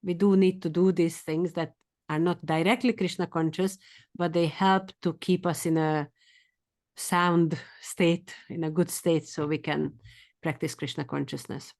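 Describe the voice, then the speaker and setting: A woman with a Hungarian accent teaching a scripture study class, quick and eager, sometimes speeding up. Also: lowish voice, fairly even intonation, darkish tone.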